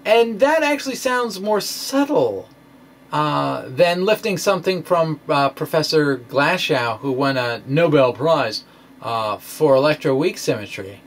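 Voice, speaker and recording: A man's voice: a little high, lively intonation, unhurried. A man giving an informal science explainer in a folksy, conversational way with theatrical emphasis. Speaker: science blogger presenting own work. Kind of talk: informal explanatory lecture, dramatic reading of blog post.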